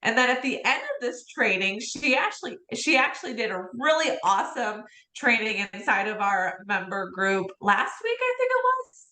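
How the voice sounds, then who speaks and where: A bright, sharp-toned woman leads a live training session, her voice hoarse as she is losing it. She talks in a chatty way with fillers, and she sits a little high in pitch. The room around her rings with echo. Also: sing-song, moderate pace, hardly any pauses. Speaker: host of a real estate training livestream. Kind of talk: livestream training talk.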